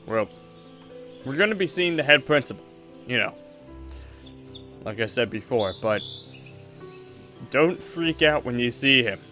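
A man acts out a fatherly veteran exorcist in a Minecraft roleplay. His voice is bright and of middling pitch, relaxed and reassuring, with a firm side. Faint music plays underneath. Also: a little slow, long pauses. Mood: calm, fatherly. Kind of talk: improvised Minecraft anime roleplay.

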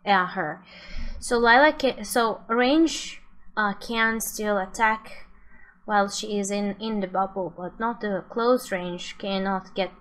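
Slow, halting young woman reacting casually. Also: medium pitch, a bit bright, poor audio. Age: 20s. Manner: hesitant, halting phrasing. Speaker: streamer reacting to game videos. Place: small room at home.

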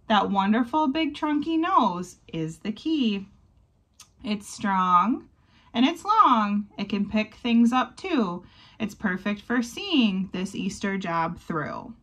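A woman voicing a small, bossy but kindly male mouse in a storybook, squeaky, exclamatory and encouraging. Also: big pitch swings, slow, a bit bright, exaggerated voice. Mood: excited.

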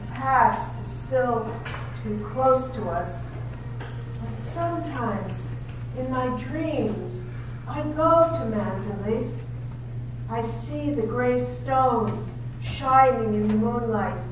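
A woman reading the part of a young wife who recalls a lost great house, in a slow, wistful, dreamy way, at a live staged reading of a 1930s radio drama. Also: a little high. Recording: echoey hall from far off, noisy.

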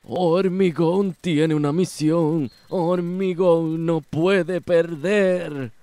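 A man's voice: on the high side, expressive, takes it slowly. A man with a Puerto Rican accent voicing a boastful, stubborn villainous robot ant in a cartoon, speaking pompously of himself in the third person. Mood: boastful, battling. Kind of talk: cartoon voice acting. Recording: loud music under the voice.

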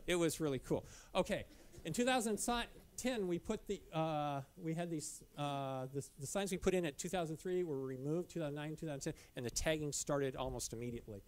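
A middle-aged man giving a casual slide talk in a dim lecture room, with many restarts and fillers. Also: on the high side. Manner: loose, halting, frequent restarts and fillers. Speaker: caving club presenter.

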